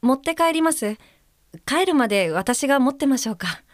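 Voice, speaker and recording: An actress playing a considerate, observant guide helper in an audio drama, speaking gently, politely and with care. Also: female voice, high voice, expressive, moderate pace. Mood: considerate, probing. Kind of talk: Japanese audio drama acting, reading aloud and describing.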